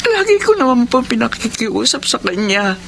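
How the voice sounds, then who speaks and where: A woman's voice: fairly high pitch, very animated, normal speed, vocal fry. An actress playing a poor, sorrowful mother in a Filipino radio drama, speaking in a plaintive, heartfelt way. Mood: wistful. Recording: noisy radio drama with ambience.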